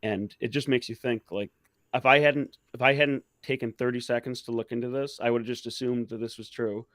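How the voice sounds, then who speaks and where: Casual man with a dry, mid-pitched voice. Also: fairly even intonation, fairly quick, fairly bright tone, dry recording. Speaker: talk show co-host. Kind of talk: casual chat.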